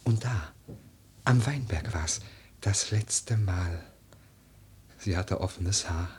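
An actor playing a melancholy, brooding dreamer lost in memory, reciting verse in a husky, breathy voice for a radio drama. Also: male voice, deep voice, takes it slowly, a little hiss or hum. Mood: wistful, rapt.

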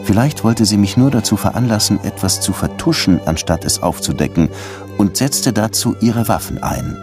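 An actor playing a world-weary, hard-boiled middle-aged police detective in a German radio crime drama. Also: male voice, lowish voice, lively intonation, normal speed, music in the background, lightly acted. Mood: brooding. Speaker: lead actor and first-person narrator.

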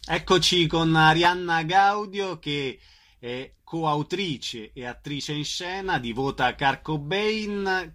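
A male radio interviewer speaks at an unhurried pace. His voice is fairly high for a man and clearly bright, in a casual hosting style. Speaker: radio theatre show host and interviewer.

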